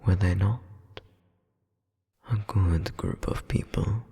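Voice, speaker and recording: Guy doing a cold menacing demon prince, super low n slow, husky half-whisper, audio rp. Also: a little mellow, full character voice. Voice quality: breathy, husky, gravelly. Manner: haughty, slow, deliberate, veiled threats. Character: cold, menacing royal flame demon brother, haughty ruler. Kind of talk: dramatic audio roleplay. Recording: long reverberant hall echo.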